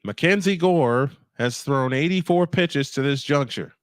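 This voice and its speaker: A man's voice: medium pitch, a little slow, no room sound, clean studio sound. A man doing live baseball play-by-play on a stream, mixing in casual chat and rambling as he thinks aloud.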